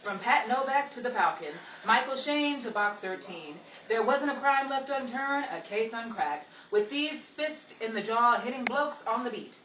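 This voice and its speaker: A woman's voice: fairly high pitch, expressive, moderate pace, hardly any pauses, a bit bright. A woman playing a sassy hard-boiled 1940s detective who narrates a radio show in a theatrical, wisecracking way. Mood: dramatic narration. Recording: roomy, distant, noisy live room recording.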